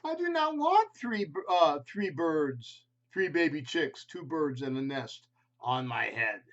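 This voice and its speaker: A man's voice: on the high side, sing-song, unhurried. A man voicing an anxious, fretful grown-up male elephant in a storybook read-aloud, worried and pleading. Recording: slightly muffled home video audio.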